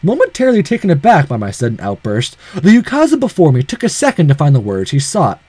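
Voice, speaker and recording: A man narrates a visual novel in a dramatic first-person style. His voice is fairly high and moves a lot in pitch, recorded dry and close. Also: huge pitch swings, quick pace, darkish tone, breathy edge, no echo. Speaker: channel host reading a visual novel aloud.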